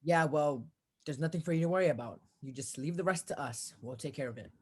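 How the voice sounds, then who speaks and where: A woman playing a weary, placating police officer in a radio drama, husky-voiced, offhand and patronizing. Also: low voice, brisk. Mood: impatient. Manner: offhand, patronizing, brushing the caller off. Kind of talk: 1940s radio drama acting.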